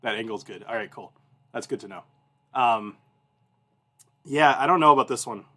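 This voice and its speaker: A man's voice: medium pitch, moderate pace, a bit bright. A man in his thirties hosting a whiskey review, chatting informally with viewers, rambling and often interrupting himself as he goes. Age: 30s. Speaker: whiskey review show host. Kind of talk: casual chat and anecdotes to a livestream audience.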